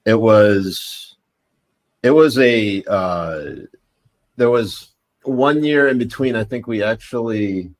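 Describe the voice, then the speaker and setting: A man with a lowish voice chatting casually as a podcast guest. He rambles, circles back and restarts his sentences, stacking up fillers as he goes. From United States, partly raised in Pakistan. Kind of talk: casual chat.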